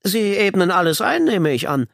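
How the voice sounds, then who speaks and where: A man voicing an excitable fox in a storybook, with urgent, exclaiming delivery. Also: high-pitched, moderate pace, vocal fry, dry recording, clean studio sound, voice pushed up, full character voice. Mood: agitated, urgent. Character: excited, breathless young fox bringing bad news.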